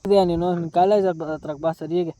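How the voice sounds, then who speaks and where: A man's voice: on the high side, fairly even intonation, quick pace. A man confronting someone on a casual phone call with pointed questions. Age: 20s. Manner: confrontational, interrogating. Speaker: prank caller posing as an angry boyfriend.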